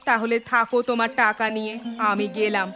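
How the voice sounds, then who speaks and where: A woman playing an older, dramatic, greedy mother-in-law in an animated fairy tale, with theatrical, exclamatory delivery. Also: fairly high pitch, normal speed, music in the background, close to an everyday voice. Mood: scolding, practical. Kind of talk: melodramatic Bengali animated fairy-tale dubbing.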